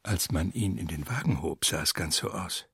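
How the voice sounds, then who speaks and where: A composed gentleman companion in a radio play, speaking low with a strongly husky, rough-edged voice. He explains things in a matter-of-fact, pedantic way, recorded dry and close. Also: normal speed, dry recording, heavily acted. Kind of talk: literary radio drama dialogue.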